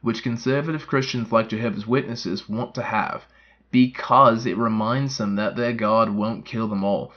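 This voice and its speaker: A man's voice: fairly low pitch, fairly even intonation, moderate pace, natural voice. A man playing a blunt, skeptical military commander in scripted comic dialogue, questioning everything with disbelief, through a dull, narrow sound. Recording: dull, band-limited, filtered-sounding voice.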